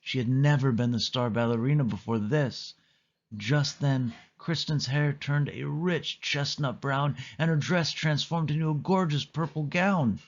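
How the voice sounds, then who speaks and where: A man's voice: mid-range voice, a little slow, lo-fi sound. A man reading a scripted children's story aloud as a read-along narrator.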